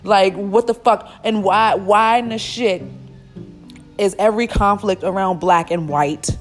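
A woman's voice: mid-range voice, steady tone, measured pace, faint music behind. A woman in her twenties hosting a solo podcast, ranting bluntly and emphatically with a lot of swearing. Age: 20s. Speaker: podcast host. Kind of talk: casual solo podcast rant.

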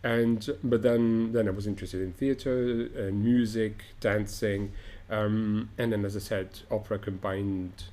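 A man's voice: lowish voice, fairly even intonation, measured pace, few pauses, dark tone. A middle-aged man from Morocco, an opera director, answers interview questions thoughtfully, with frequent restarts and fillers.

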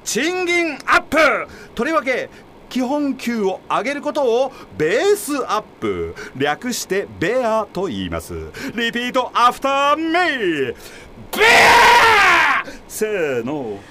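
A man's voice: very high-pitched, wildly swooping pitch, slow pace, pushed and bright, shouting, a little hiss or hum, exaggerated voice. A man acting in a comedic radio drama as a flamboyant, theatrical consultant who lectures like a peppy showman. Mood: cocky, exuberant. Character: flamboyant, showy male labor-rules consultant, peppy lecturer.

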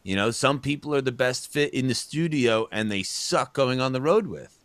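A man's voice: mid-range voice, lively intonation, moderate pace, fairly bright tone, no room sound. A man co-hosting a casual talk show, rambling and chatty with lots of fillers. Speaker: podcast co-host. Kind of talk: casual chat.

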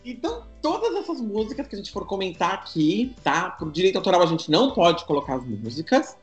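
A man's voice: sits high, big pitch swings, brisk, reverberant, faint music behind. A man leading a podcast episode in a joking, teasing way. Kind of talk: casual podcast chat.

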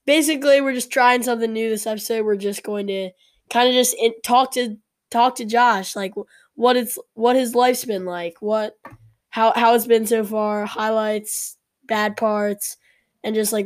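A woman hosting a casual podcast, talking with a sore throat and a congested voice, chatty and halting with frequent fillers. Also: fairly high pitch. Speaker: podcast host.

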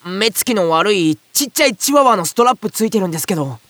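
A woman playing an excitable, scatterbrained young boy who complains loudly in an audio drama. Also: mid-range voice, fast, no echo. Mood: flustered. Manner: exclamatory, rambling comic complaints. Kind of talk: audio drama acting with first-person narration.

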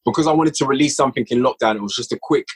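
Husky man chatting eagerly. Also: medium pitch, rapid-fire. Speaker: podcast guest, audio drama writer and director. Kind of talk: casual conversation.

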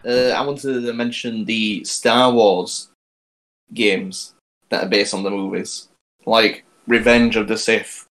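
Slightly low man steering the chat. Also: steady tone, slow, a bit bright, low-quality recording. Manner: steers the talk back on topic. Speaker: podcast co-host. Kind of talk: casual gaming chat.

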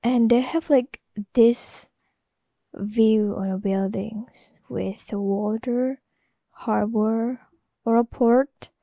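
A woman's voice: a little high, steady tone, very slow, dark tone, dry recording. An Indonesian woman, a native Indonesian speaker with an Indonesian accent, hosting a casual solo podcast in a rambling, hesitant way full of fillers. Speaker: podcast host.

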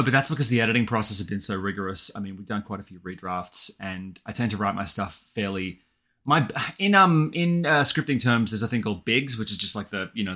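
A man's voice: on the low side, pushed and bright. An Australian man chatting casually as an interview guest, effusive and colloquial about his writing work. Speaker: guest, audio drama writer.

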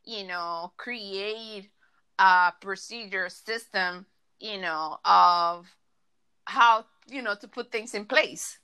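A woman's voice: medium pitch, slow pace, pushed and bright, clean tone. A woman from Latin America with a Latin American accent, hosting a podcast interview and firing off several questions in a row. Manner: chatty, strings questions together. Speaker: podcast host.

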